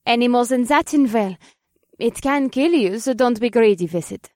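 A woman playing a perky, poised witch professor in an audiobook, bright and encouraging in manner, with polite, eager delivery. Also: fairly high pitch, animated, moderate pace, no room sound, studio quality, close to an everyday voice. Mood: instructive. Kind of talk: audiobook character dialogue.

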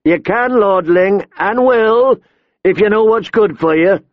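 Man acting a menacing outlaw bully, pitched up. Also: a little slow, no echo, phone-quality sound. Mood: threatening. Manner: taunting, threatening. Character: big bald menacing male outlaw, gruff bully.

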